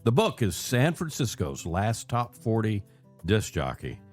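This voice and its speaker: An older man with a lowish voice hosts a radio interview program. He is conversational and folksy, moving between announcer-style introductions and halting asides, with a music bed sometimes faintly behind him. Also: big pitch swings. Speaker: radio show host.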